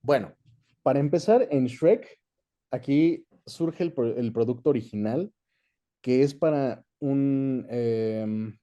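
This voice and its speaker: Mexican guy being interviewed, really dark mellow voice, mid pitch, explains stuff in a measured chatty way. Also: lo-fi sound. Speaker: interview guest, voice actor and dubbing director. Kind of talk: casual explanatory conversation.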